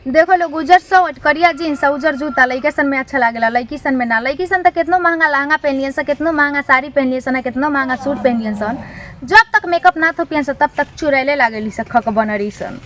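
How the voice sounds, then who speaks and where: A woman's voice: high voice, brisk, fairly bright tone. A young woman with a Bihari, Bhojpuri-tinged accent, speaking emphatically as an interview guest and repeating phrases for effect. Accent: Bihari, Bhojpuri-influenced. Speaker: interview guest, comic poet and social media creator. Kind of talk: informal interview with recited satirical verse.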